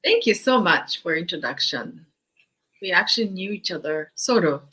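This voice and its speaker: Bright-voiced woman hosting an interview. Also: lowish voice, big pitch swings, normal speed, breathy edge. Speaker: host.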